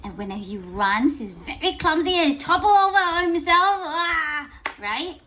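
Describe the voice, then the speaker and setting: Lady reading a kids bedtime story, slow n super lively, playful, bit of background noise. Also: sits high. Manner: playful, expressive, drawn-out words. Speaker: storyteller reading a picture book to a child. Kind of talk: animated bedtime read-aloud with questions to a child.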